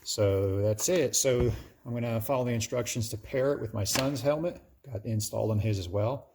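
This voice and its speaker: A man's voice: mid-range voice, normal speed, darkish tone, slight background noise. A man, plain-spoken and conversational, talking through a casual hands-on product review.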